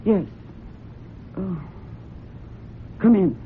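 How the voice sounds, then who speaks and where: A woman's voice: mid-range voice, lively intonation, very slow. Anxious young woman, vividly intoned. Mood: guarded. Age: young adult. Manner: earnest, pleading, guarded. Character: anxious, defensive young woman cornered by a blackmailer. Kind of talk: radio drama acting. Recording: muffled, noisy old radio broadcast recording.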